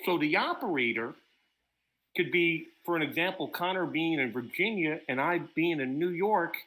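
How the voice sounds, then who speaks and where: A man's voice: a little high, animated, moderate pace, sharp tone, low-quality recording. A man hosting an unscripted livestream roundtable, explaining things and circling back to restate his points. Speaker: host of an amateur radio contesting roundtable.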